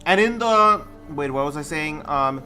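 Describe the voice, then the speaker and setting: A man's voice: on the high side, animated, a little slow, bright, forceful tone, soft background music. A man streaming a game, reading aloud and commenting casually, often stumbling over words and repeating himself.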